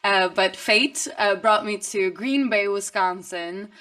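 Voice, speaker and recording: A young woman from Sweden speaks English, talking about her music in a relaxed, upbeat way. Her voice sits in an ordinary middle range. She laughs easily between answers. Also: mid-range voice. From Stockholm, Sweden. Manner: upbeat, chatty, quick laughter. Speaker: musician guest. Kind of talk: casual interview chat.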